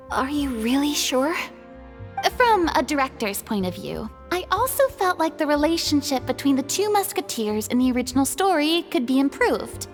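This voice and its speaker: An actress playing an eager young film director in a game, energetic and commanding as she organizes everyone. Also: sits high, a little slow, big-room sound, faint music behind, heavily acted.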